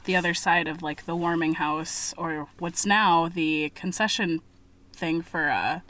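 A woman co-hosting a podcast, talking in a relaxed, hesitant way with ums and restarts. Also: lowish voice, measured pace. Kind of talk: casual chat.

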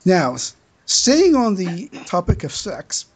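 A man hosting a casual podcast news segment, with a husky voice and a halting delivery that repeats words. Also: high-pitched, huge pitch swings, darkish tone. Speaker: podcast host reading news items.

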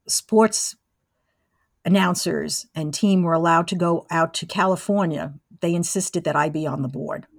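Interview guest: older female, low-pitched, relaxed conversational storytelling, very little room sound. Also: no echo, clean studio sound. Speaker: interview guest, former college radio engineer. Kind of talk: casual reminiscing.

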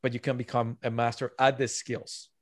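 A man's voice: medium pitch, level tone, normal speed, dry recording, clean studio sound. A man who is not a native English speaker, talking earnestly as an author guest on a podcast and repeating his key points. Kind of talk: podcast interview.

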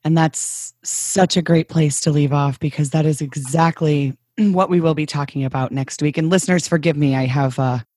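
A woman hosting a podcast with a hoarse, low voice, speaking candidly and reading out the show's wrap-up. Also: normal speed, hardly any pauses, no room sound. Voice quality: low register. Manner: lively, candid, wraps the show with announcements. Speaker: podcast host. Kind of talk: podcast conversation.

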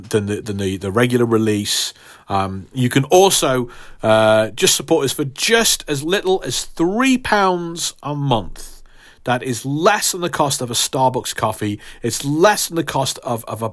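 A man hosting a podcast, talking straight to listeners in an emphatic, mock-grandiose way, casual but partly scripted. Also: medium pitch. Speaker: podcast host.

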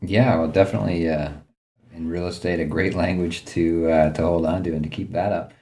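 A man hosting a podcast, asking friendly, halting questions. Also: deep voice, fairly even intonation, mellow. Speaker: podcast host. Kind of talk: podcast interview.

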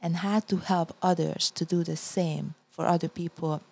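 A woman's voice: lowish voice, fairly even intonation, normal speed, creaky, a little mellow, slightly rough. A middle-aged woman from Hungary with a Hungarian accent, earnestly telling her story in a podcast interview and often repeating words as she searches for them. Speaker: guest healer.

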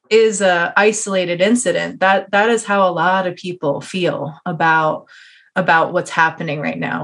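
A woman's voice: on the low side, a bit bright. An American woman hosting a conversational podcast interview, speaking in an earnest, explanatory and encouraging way. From United States.

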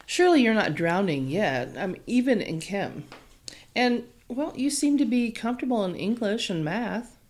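A woman's voice: fairly high pitch, lively intonation, normal speed, darkish tone. An actress playing a friendly young female college student from Texas with a Texan accent, talking in a chatty, hesitant way.

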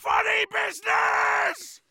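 A man playing a blustering, villainous space pirate captain with a raspy growl in a comedic sci-fi audio drama. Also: on the high side, sing-song, slow, no room sound, some chatter behind, full character voice. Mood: gleeful attack. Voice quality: raspy, gravelly. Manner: theatrical pirate growl, shouting.

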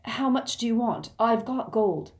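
A woman's voice: fairly high pitch, unhurried, a little mellow, pitched above the performer's own voice, close to an everyday voice. Woman playing a polite, plain-spoken teenage boy. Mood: hesitant.

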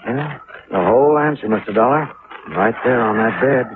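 A man's voice: medium pitch, normal speed, phone-quality sound, natural voice. A man acting as an earnest small-town lawman in an old radio play. He is plain-spoken and dogged, with a mid-range voice on a thin, noisy 1950s recording. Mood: grim.

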